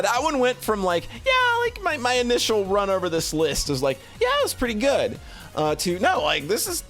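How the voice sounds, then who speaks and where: A man giving casual, unscripted commentary as a streamer, halting often and repeating or correcting words as they go. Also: high-pitched, a bit bright. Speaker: streamer reviewing visual novels.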